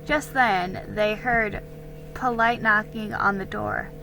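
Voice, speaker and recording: A woman giving an amateur dramatic storybook reading, deliberate and a little halting, with stumbles. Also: mid-range voice, creaky, background noise.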